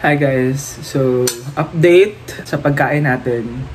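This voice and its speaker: A man's voice: medium pitch, takes it slowly, slight background noise, low-quality recording. A man in his thirties with a Filipino accent, chatting casually and animatedly to a phone camera at arm's length in a small apartment room. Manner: chatty, animated, laughing asides, mixing English into Filipino. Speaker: vlogger. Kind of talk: casual daily vlog chat.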